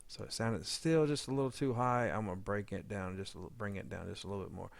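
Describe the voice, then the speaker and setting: A man's voice: on the low side, fast talker, gravelly, no room sound. A man giving a casual editing tutorial, informally thinking aloud as he works. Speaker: audio drama editor demonstrating editing.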